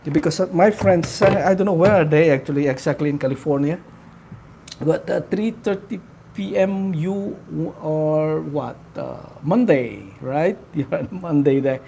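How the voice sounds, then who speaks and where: A man hosting a casual live stream, talking to his viewers in a halting way with many fillers. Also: fairly high pitch, gravelly, mellow, slight background noise. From Philippines. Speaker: livestream host.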